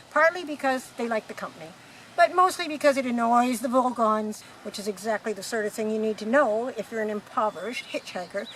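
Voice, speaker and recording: A woman's voice: a little high, a bit bright, a little hiss or hum, rough recording. A woman playing a breezy, knowing galactic hitchhiker in a radio play, explaining things in a matter-of-fact way. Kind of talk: amateur radio play acting.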